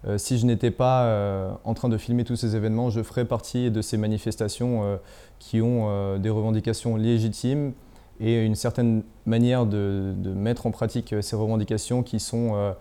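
A young adult man, an independent journalist, giving hesitant interview answers full of pauses and restarts. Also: on the low side, little pitch movement, dark tone, clean tone. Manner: hesitant, many fillers and restarts.

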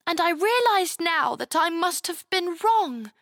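A woman's voice: very high-pitched, lively intonation, measured pace, fairly bright tone, no echo, clean studio sound, voice pushed up, full character voice. A woman voicing a young, innocent, wide-eyed girl with a hesitant manner in a children's fairy tale. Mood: shy, remorseful.

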